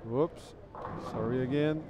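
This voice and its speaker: A man's voice: mid-range voice, slow, noisy background. A man whose first language is French, doing live commentary on a bowling event. He talks in a chatty way, thanks viewers, and repeats words while he thinks. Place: bowling alley.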